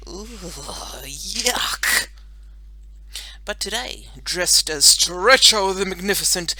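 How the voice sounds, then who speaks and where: A woman narrating a children's audiobook in an expressive, scripted storytelling style. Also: sits low, rough recording.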